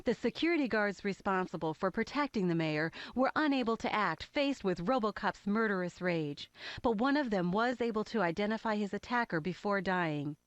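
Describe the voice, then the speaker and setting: A woman's voice: mid-range voice, expressive, moderate pace, dry recording, close to an everyday voice. An actress as a dystopian TV newswoman reads dramatic bulletins in a formal, announcing delivery. The sound is very dry and slightly dull, like compressed video game audio. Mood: grave urgency. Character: female TV news anchor in a dystopian city. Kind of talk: dramatic scripted newscast.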